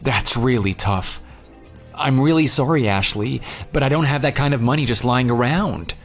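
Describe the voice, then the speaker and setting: A man's voice: medium pitch, normal speed, soft background music, natural voice. A man playing a jilted but forgiving ex-fiance in a radio drama, earnest and questioning. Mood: taken aback.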